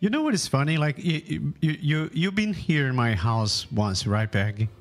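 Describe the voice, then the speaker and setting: Lively, higher-pitched man co-hosting. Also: a little slow. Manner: jovial, joking. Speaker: podcast co-host. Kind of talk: casual chat.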